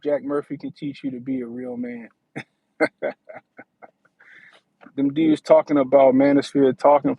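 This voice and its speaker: A man's voice: a little high, vocal fry, breathy edge, dry recording, highs cut off. A man in his thirties hosting a casual livestream, talking in a slangy, profane way and often asking "you know what I mean". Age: 30s. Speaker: livestream host.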